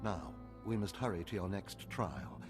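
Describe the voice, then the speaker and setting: An actor playing a stern, haughty older Sith overseer in a video game, clipped, lecturing and condescending. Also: male voice, low voice, expressive, measured pace. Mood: grave, lecturing. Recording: loud music behind.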